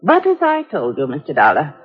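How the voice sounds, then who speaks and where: A woman's voice: low-pitched, wildly swooping pitch, fairly quick, heavily acted. A woman playing an anxious, prim older housekeeper in a 1950s radio drama, genteel and hesitant. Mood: nervous, dismayed. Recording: dull, husky-sounding old radio recording.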